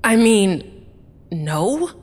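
A woman's voice: medium pitch, big pitch swings, drawn-out words, full character voice. An actress playing a defensive, upset female witness giving testimony in a courtroom audio drama. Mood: defensive, reluctant. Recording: reverberant room.

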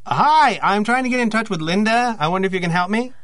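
A man's voice: high-pitched, expressive, fast, fairly bright tone, no room sound. An actor playing an intense, guilt-ridden man confessing to murder in an audio drama. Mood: polite.

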